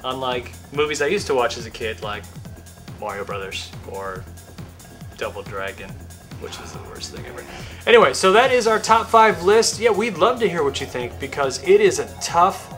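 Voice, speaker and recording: A man's voice: on the high side, sing-song, moderate pace, fairly bright tone, faint music behind. A man co-hosting a video game show, chatting casually and opinionatedly about films, repeating words for emphasis. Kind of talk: casual opinionated movie countdown chat.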